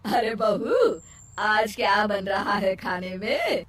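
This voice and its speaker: Woman doing an exasperated, slow-talking older mother-in-law. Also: medium pitch, sing-song, fairly bright tone. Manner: scolding, exclamatory, fed up. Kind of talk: cartoon moral story acting. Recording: roomy, distant mic, faint noise.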